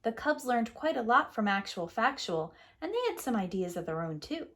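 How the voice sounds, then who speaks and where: Woman, preschool teacher, expressive read-aloud, lively swooping intonation, clear phrasing. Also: on the high side, normal speed. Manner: animated, clearly phrased storytelling. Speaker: preschool teacher reading a picture book aloud. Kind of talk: expressive storybook read-aloud for young children.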